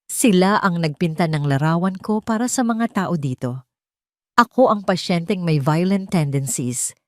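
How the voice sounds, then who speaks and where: A woman with a Filipino accent narrating a dramatic first-person story in an expressive, scripted storytelling manner. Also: fairly low pitch, moderate pace, no echo, studio quality.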